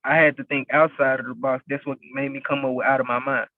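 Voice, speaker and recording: A man's voice: medium pitch, level tone, quick pace, no echo. A young man from West Memphis, a rapper and label owner, talking casually as an interview guest and leaning on "you know what I'm saying" over and over. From West Memphis, Arkansas. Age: young adult. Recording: tinny phone-like, very dry.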